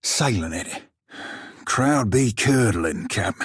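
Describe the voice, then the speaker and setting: An actor playing a small, roguish, salty pirate shiphunter in a video game, with a husky, rough voice and wry nautical slang. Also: male voice, lowish voice, expressive, slow, a bit bright, no echo, clean studio sound, heavily acted. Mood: dry, sardonic. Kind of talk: video game character voice lines.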